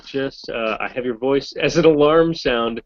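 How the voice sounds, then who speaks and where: A voice actor from Massachusetts answering fan questions in a casual, rambling, self-deprecating way over a video call. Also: male voice, mid-range voice, a little slow, muffled recording, a little hiss or hum. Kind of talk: casual Q&A chat.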